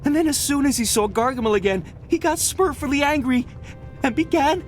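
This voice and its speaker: A woman's voice: high voice, brisk, soft background music, lightly acted. A woman playing a small, brave and determined cartoon creature in a video game, earnest and urgent. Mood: worried. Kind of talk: video game cutscene acting.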